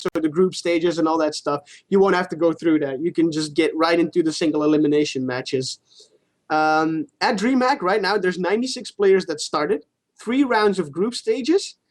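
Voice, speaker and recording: High-pitched man chatting about gaming. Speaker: co-host of a gaming talk show. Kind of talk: casual gaming chat, explaining esports news.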